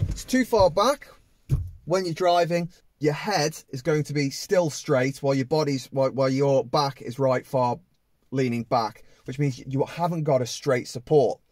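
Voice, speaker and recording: A man's voice: medium pitch, darkish tone, no echo. A man in his thirties, a driving instructor, patiently explaining step by step from the driver's seat of a parked car. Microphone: camera on the dashboard.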